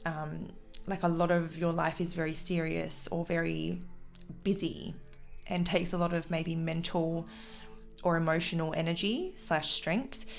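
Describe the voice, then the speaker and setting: A woman's voice: low voice, steady tone, unhurried, vocal fry, soft background music. A woman giving a casual, intuitive tarot reading to viewers, talking in a tentative, reflective way with trailing phrases. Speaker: tarot reader and channel host.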